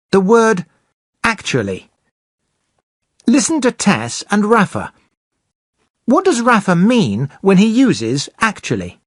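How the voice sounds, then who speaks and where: A presenter explaining English grammar slowly and clearly for beginners. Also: mid-range voice, no echo, studio quality. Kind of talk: scripted teaching narration.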